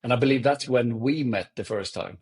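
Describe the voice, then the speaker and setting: A man from Sweden with a Swedish accent and Swedish as his first language, telling his story in a halting, thoughtful way as a podcast guest. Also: mid-range voice. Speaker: guest, event company CEO. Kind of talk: podcast interview.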